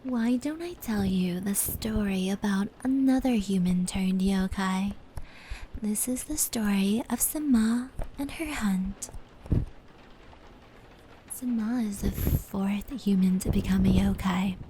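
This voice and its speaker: A woman playing a gentle, knowing fox spirit in an ASMR roleplay, telling stories in a soft, breathy, soothing voice. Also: mid-range voice, slow, lightly acted, poor audio. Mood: calm storytelling. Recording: steady rain and brushing noise layered in. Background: indoor rain patter and brushing sounds.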